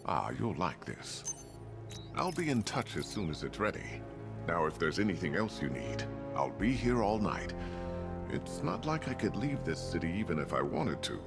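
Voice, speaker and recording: An actor playing a genial older tech inventor in a video game, courteous and dryly playful, with wry loyalty. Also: male voice, sits low, big pitch swings, normal speed, gravelly, exaggerated voice. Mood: warm, wry. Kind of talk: video game cutscene acting. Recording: game music behind the voice.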